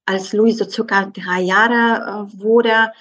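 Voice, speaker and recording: Zögernde Frau mit polnischem Akzent, dumpfer Klang. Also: female voice, medium pitch, steady tone, slow pace, fairly bright tone, dull audio, faint music behind. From southeastern Poland. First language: Polish. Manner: hesitant, many fillers. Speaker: guest. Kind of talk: conversational interview.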